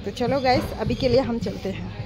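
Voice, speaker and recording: A woman's voice: fairly high pitch, moderate pace, music in the background. A middle-aged woman vlogging casually outdoors at night on a phone held at arm's length, chatting directly to viewers. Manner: chatty, talks straight to viewers. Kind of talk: casual travel vlog chat. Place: outdoor cafe and street at night. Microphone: phone camera held at arm's length.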